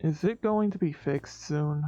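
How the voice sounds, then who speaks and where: A man's voice: a little high, measured pace, warm tone, natural voice. A man playing a depressed, reserved office worker in a comic dub, speaking in a terse, subdued way. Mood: weary, polite. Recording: dull distant recording with background music.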